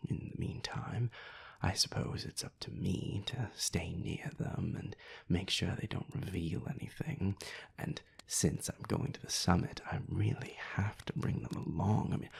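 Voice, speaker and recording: A sly, infuriating vampire man, performed by a male voice actor in an audio roleplay. He speaks in a breathy half-whisper with a creaky low register, drawing out his words with theatrical, taunting politeness. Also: full character voice. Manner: arch, drawling, taunting formality.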